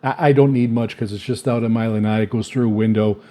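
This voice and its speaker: A man casually reviewing radio gear on his channel, speaking off the cuff in a halting, repetitive way with frequent stammers and filled pauses. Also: on the low side, fairly even intonation, dark tone. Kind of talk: casual unscripted product review.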